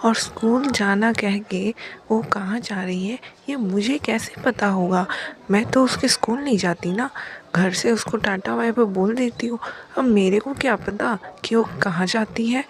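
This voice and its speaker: A woman playing an aggrieved mother who complains and justifies herself in an animated moral story. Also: medium pitch, natural voice. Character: defensive, aggrieved mother of a playful girl.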